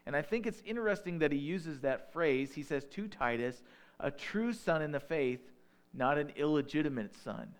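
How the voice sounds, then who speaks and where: Conversational pastor with a Northern Illinois accent. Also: male voice, fairly high pitch, lively intonation, moderate pace, clean tone. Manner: conversational, folksy asides. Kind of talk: sermon.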